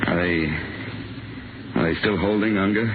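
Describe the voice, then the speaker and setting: A man plays a despondent accused investigator in an old radio drama. His voice is low, with weary, stammering delivery. The recording is thin and full of noise. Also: fairly even intonation, moderate pace, lightly acted. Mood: distraught. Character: shaken male investigator accused of murder, despondent. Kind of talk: 1950s radio drama acting. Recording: scratchy, noisy, narrow-band 1950 radio recording.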